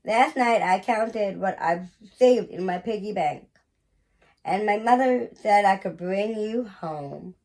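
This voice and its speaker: A woman's voice: medium pitch, slow pace, darkish tone, rough recording. A woman voicing a sweet, eager little girl in a children's storybook, earnest and polite. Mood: happy, eager.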